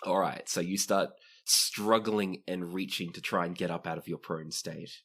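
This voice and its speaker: A man's voice: low voice, fairly even intonation, moderate pace, a bit bright, slightly rough. A man narrating a tabletop roleplaying game as game master, describing scenes and explaining rules to players.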